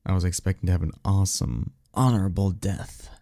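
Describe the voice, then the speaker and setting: A man's voice: sits low, a little fast, warm tone, no room sound, clean studio sound, natural voice. A man playing a cocky, easygoing vampire mentor and former archmage in a slangy, offhand audio roleplay, teasing and swearing casually. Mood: wry, reflective.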